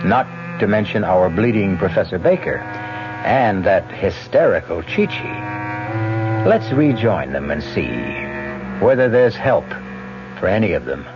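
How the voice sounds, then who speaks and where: A man hosting a radio mystery with theatrical, deliberate, wry scripted narration. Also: fairly low pitch, very animated. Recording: dull lo-fi old radio recording. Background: music.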